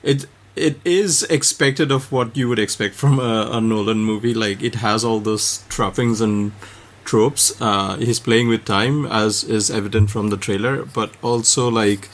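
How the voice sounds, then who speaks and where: A man giving his opinion on a podcast, hesitant and filling his pauses with "uh". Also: lowish voice, fairly even intonation. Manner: hesitant, many "uh"s. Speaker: podcast host. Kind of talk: casual podcast chat.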